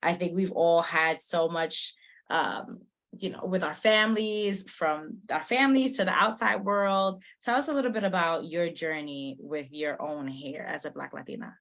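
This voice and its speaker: A woman's voice: fairly low pitch, moderate pace, a bit bright. A woman hosting a summit interview, introducing her guest and asking questions conversationally.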